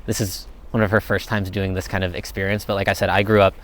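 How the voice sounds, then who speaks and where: A young man talks in a loose, meandering way, answering questions off the cuff. His voice sits fairly low and relaxed. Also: fast talker, a little hiss or hum. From United States. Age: young adult. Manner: laid-back, rambling, thinks aloud. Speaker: vlogger answering viewer questions. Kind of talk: casual Q&A chat.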